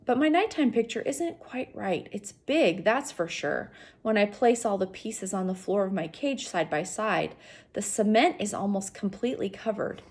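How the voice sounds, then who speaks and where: Female teacher type for a children's read-aloud. Slightly raspy voice, very varied intonation, talks straight to the kids. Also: mid-range voice. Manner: addresses young listeners directly. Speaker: teacher reading aloud to students.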